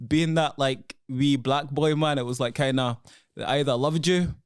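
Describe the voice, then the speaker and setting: A man's voice: medium pitch, fairly even intonation, moderate pace, clean tone, dry recording, studio quality. A young man with a Glaswegian accent, a podcast guest talking in a frank, laid-back, casual way. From Scotland, born in Congo. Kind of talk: casual chat. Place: podcast studio.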